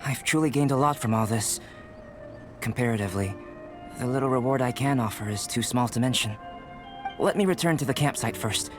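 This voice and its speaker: A man playing a young alchemist in a video game, polite and curious, speaking in a measured, formal, thoughtful way. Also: medium pitch, close to an everyday voice. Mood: gracious. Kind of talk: English video game character dialogue. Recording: music behind the voice.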